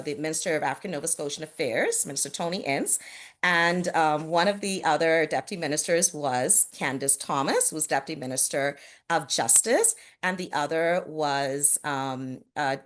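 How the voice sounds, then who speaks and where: A middle-aged woman from the Bahamas speaking on a video call panel, earnest and measured, with frequent fillers and restarts. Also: low voice. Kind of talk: reflective talk on a virtual panel.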